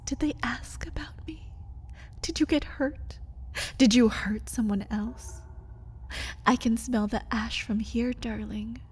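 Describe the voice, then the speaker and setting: A high-pitched vampire woman, voiced by an actress, locked away and aching for her lover. She speaks slowly and dramatically, with a bitter, pleading desperation. The delivery is that of an intimate ASMR roleplay monologue. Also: darkish tone. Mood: bitter, wounded. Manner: dramatic, swinging between breathy whispers and pleading outbursts.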